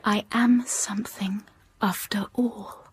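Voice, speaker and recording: A woman's voice: medium pitch, fairly even intonation, slow, slightly rough. A woman playing a timid but steely young schoolteacher in a period radio drama, speaking formally and precisely and narrating her own story. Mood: reflective.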